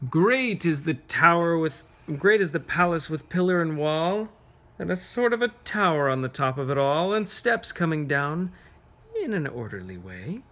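A man reading a picture book aloud to children, pausing to ask them gentle, encouraging questions. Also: a little high, very animated. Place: carpeted room at home, sitting on floor.

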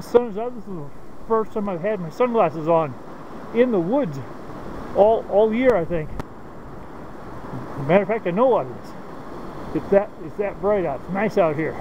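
Middle-aged guy with a high voice, talking to a handheld cam out in snowy woods, keeps repeating words, lots of noise. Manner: halting, repeats words often. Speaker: outdoors vlogger. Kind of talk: casual bushcraft walkthrough. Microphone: handheld camera at arm's length.

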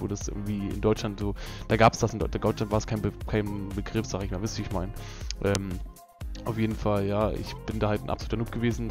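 Young male gaming YouTuber, relaxed and rambling, slightly low voice over a music bed. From Berlin, Germany. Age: young adult. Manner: rambling, laid-back, lots of fillers and laughs. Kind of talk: casual gameplay commentary vlog. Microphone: voice-over over gameplay.

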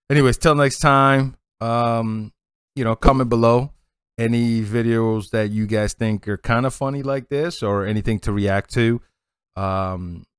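A man in his forties with a Boston accent, hosting a casual reaction video, laid-back and laughing a lot, recorded on a headset boom mic at home. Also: clean tone, no room sound, clean studio sound. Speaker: reaction channel host. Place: home streaming setup, indoors.

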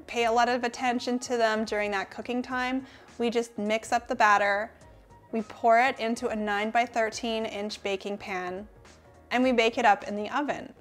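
A woman's voice: fairly high pitch, a bit bright. A woman in her thirties hosting a cooking channel, talking through kitchen tips to camera in an upbeat, friendly way from her home kitchen.

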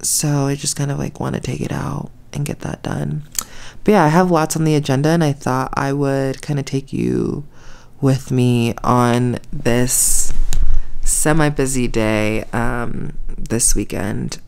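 Stuffy-nosed young woman, low and unhurried. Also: steady tone, creaky, a little mellow. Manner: chatty, rambling, with many fillers. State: stuffy nose. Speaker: vlogger. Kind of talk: casual day-in-the-life vlog. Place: apartment and then a parked car. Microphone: handheld camera at arm's length.

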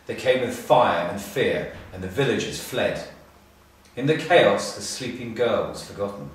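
Heard from some distance in a very reverberant room, a middle-aged man reads a storybook aloud. His voice sits a little low. He phrases the scripted text expressively, with measured pauses. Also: expressive, a little hiss or hum. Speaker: storybook reader, school staff. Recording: echoey room, distant mic.

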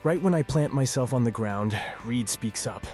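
A man's voice: medium pitch, animated, normal speed, a little mellow, faint music behind, natural voice. A man playing an awkward, wry teenage boy in a visual novel, both narrating and speaking in dialogue.

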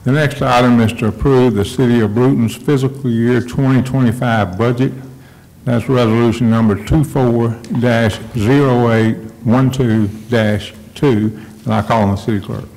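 A man's voice: mid-range voice, steady tone, normal speed, gravelly, a little mellow, poor audio. A man acting as mayor, reading agenda items into a desk mic in a council chamber, a little flustered at times. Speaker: mayor chairing a council meeting. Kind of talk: chairing a public meeting.

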